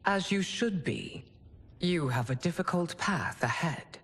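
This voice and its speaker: A woman playing an imperious fantasy empress in a cinematic game, speaking in a formal, measured, commanding way. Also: fairly low pitch, animated, a bit bright, slightly rough, exaggerated voice. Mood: cool, formal. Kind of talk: cinematic video game acting.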